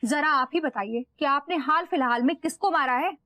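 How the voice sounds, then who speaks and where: A woman's voice: high-pitched, normal speed, muffled recording, background noise, lightly acted. An actress playing a polished, insistent modern wife who mixes English words into her speech.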